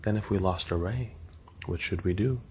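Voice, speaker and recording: Man voicing a worried young maiden, soft gentle scolding, low voice, storybook. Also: moderate pace, a little hiss or hum, close to an everyday voice. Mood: anxious pleading. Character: cautious young woman, warning her sister.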